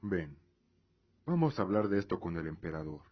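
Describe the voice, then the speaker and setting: Radio drama role, male actor: dignified middle-aged imperial father, low register, formal and earnest delivery, muffled lo-fi sound. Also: very animated, moderate pace. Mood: proud. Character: proud, devout middle-aged father, solemn imperial lord. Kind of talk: fantasy radio drama acting.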